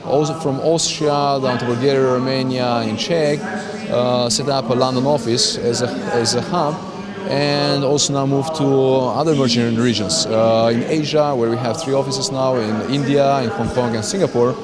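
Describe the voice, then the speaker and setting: A man with a Slovenian accent answering interview questions as a company founder, halting as he searches for words. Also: mid-range voice, fairly even intonation. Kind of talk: interview answers at a business conference. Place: crowded conference venue. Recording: busy event with loud crowd chatter. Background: loud crowd chatter.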